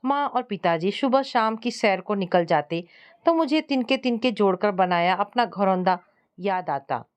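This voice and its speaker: Female story narrator, mid-pitched, scripted first-person domestic drama. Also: expressive, a little slow, smooth.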